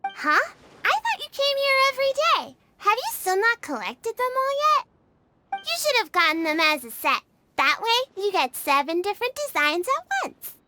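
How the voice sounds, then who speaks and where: Very high-pitched, blunt little kid. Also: female voice, sing-song, normal speed, sharp tone, a little hiss or hum, heavily acted. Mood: blunt, smug. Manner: blunt, matter-of-fact, a little haughty. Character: opinionated, blunt young child collector. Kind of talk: animated video game voice acting.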